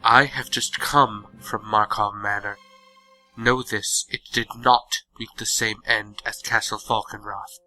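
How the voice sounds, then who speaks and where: A man's voice: on the low side, steady tone, a little slow, bright, forceful tone, faint music behind, rough recording. A man playing a proud, stern ancient vampire lord in a fantasy audio drama, speaking in a grave, controlled way. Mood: stern, urgent.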